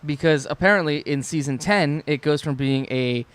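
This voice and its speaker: A young man on a casual podcast with a slightly high voice, ranting in quick bursts and swearing freely. He chats loosely, trailing off and restarting as he goes. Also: clear, resonant tone. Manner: swears a lot, rants in bursts. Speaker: podcast co-host. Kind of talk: casual chat.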